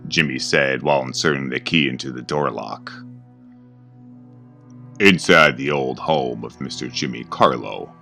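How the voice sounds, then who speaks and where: Male horror narrator, extremely low pitch; grave, ominous delivery. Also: gravelly, bright, forceful tone.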